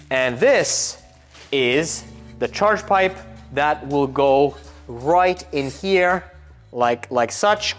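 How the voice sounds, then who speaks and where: A man hosting a technical car build video, explaining parts in a casual way with jokey asides, in a workshop. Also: mid-range voice. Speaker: car tuning shop host.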